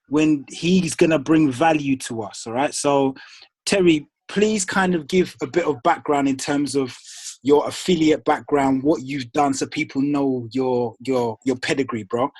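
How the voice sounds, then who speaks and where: Casual man leading a motivational group call, higher voice, dry close recording. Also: breathy edge, no room sound. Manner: casual, calls people bro and dude. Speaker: team leader hosting a group call.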